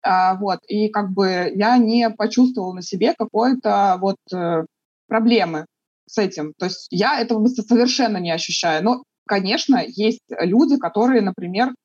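A woman from Russia answering interview questions in a thoughtful, halting way, with many fillers and restarts. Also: mid-range voice, a little mellow, breathy edge, no room sound, dull audio.

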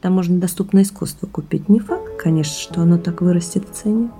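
An actress as a candid, reflective woman in an audio drama. Her low voice is full of vocal fry, and she speaks confidingly, with firm opinions. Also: quick pace, dark tone, soft background music, lightly acted. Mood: intimate, musing. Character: candid, reflective woman, intimate partner hoping for motherhood.

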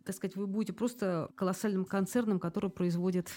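A woman's voice: medium pitch, steady tone, fast talker, warm tone. A woman interviewer putting long questions, searching for her phrasing as she goes.

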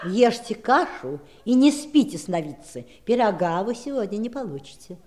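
A woman's voice: mid-range voice, very animated, moderate pace, darkish tone, close to an everyday voice. A woman playing a prim, strict, haughty nanny in a 1960s audio play, with stern, curt phrasing. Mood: strict, brisk.

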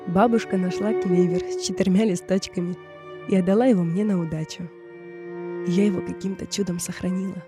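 A woman reading a scripted personal story in a measured, reflective way, in short phrases. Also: lowish voice, steady tone. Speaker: narrator of an audio performance. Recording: music bed under the voice. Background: music.

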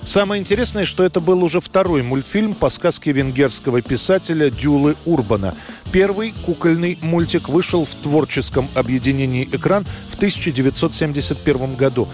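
A man narrating a scripted history programme in a measured, storytelling way. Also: on the high side, animated, a little mellow, faint music behind.

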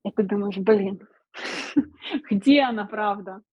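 A woman speaking as a streamer guest in a relaxed interview, chatty, laughing often and filling pauses with hesitations. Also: mid-range voice, husky, dry recording. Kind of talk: casual interview chat.

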